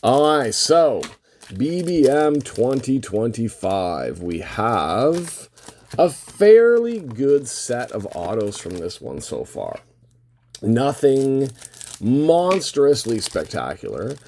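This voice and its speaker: Hoarse, creaky-voiced man rambling casually. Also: medium pitch, huge pitch swings, slow, darkish tone, a little hiss or hum. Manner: rambling, thinking aloud. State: losing his voice from a lingering cold. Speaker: host of a trading card box-break channel. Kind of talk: casual unscripted card-opening commentary.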